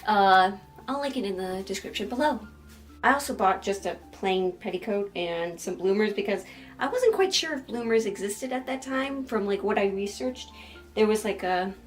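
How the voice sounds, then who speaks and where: Chatty, self-deprecating woman over music. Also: mid-range voice. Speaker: sewing vlogger and host. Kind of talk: casual sewing vlog with scripted voice-over.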